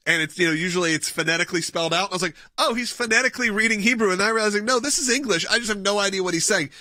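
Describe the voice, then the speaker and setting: A man co-hosting a political talk radio show, rambling quickly and laughing often, with a fairly high voice. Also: bright, forceful tone, no echo, studio quality. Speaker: radio co-host.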